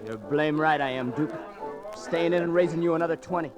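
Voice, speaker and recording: An actor playing a defiant, combative young cowboy with folksy, drawling speech in an old Western radio drama. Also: male voice, a little high, brisk, over music, a crowd in the background, lightly acted. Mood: suspicious.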